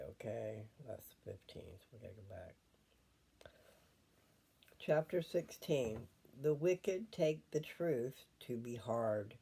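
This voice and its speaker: An older man chatting casually and reading scripture at home, unhurried and wandering as he thinks aloud. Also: medium pitch, dark tone.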